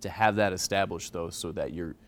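A man with a very low, deep voice asks questions as an interviewer. His questions are long and measured, building slowly toward the point.

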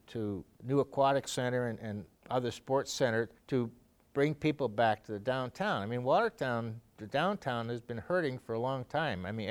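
A middle-aged man from Canada giving a casual interview, rambling with many fillers and restarts. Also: medium pitch. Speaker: donor interviewee. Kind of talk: conversational interview.

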